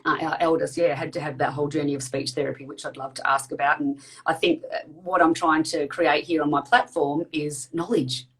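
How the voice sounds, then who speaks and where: A woman in her thirties hosting a casual live video chat, chatty and quick, with lots of fillers. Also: low-pitched, steady tone. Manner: chatty, talks fast with many fillers. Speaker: host.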